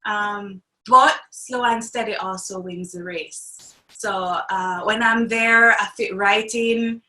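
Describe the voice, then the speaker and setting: Jamaican woman chatting in an interview, bright voice, lots of laughs, roomy sound. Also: medium pitch, takes it slowly. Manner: animated, laughs often. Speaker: actress, guest. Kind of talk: interview conversation. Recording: echoey room.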